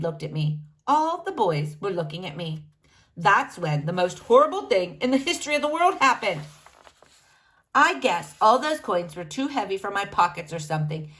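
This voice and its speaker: Female storybook reader for kids, higher-pitched, very lively intonation, speaks straight to young listeners. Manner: addresses young listeners directly, animated. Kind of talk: expressive children's read-aloud narration.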